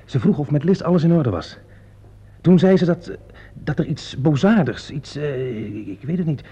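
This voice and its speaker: A nervous witness in an old radio drama, husky and mellow, with lively swings of pitch. He speaks haltingly and uneasily. The recording is muffled. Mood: uneasy, hesitant. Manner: halting, hesitant. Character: nervous, hesitant theatre man, uneasy witness. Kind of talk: Dutch radio play acting.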